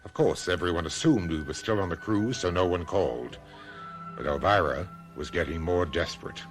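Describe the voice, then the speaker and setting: Actor as deep-voiced, steady protective man. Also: normal speed, soft background music, lightly acted. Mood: grave narrating. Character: steady, protective male friend narrating a strange mystery. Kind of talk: radio mystery acting and narration.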